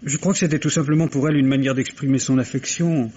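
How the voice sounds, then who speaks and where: An actor playing a defensive, emotional male nurse who answers haltingly and turns touchy, in an old radio mystery. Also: medium pitch, fairly even intonation, darkish tone, husky, close to an everyday voice. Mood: agitated, earnest. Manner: halting, emotional, flaring into indignation. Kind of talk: 1950s French radio mystery acting. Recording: dull, noisy vintage radio recording.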